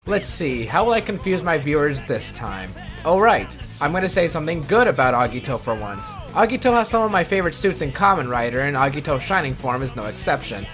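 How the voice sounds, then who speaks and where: A man's voice: fairly high pitch, sing-song, brisk, few pauses, a bit bright. A man hosting a scripted countdown video, delivering commentary with wry, self-deprecating asides. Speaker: video host. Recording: background music under the voice. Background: music.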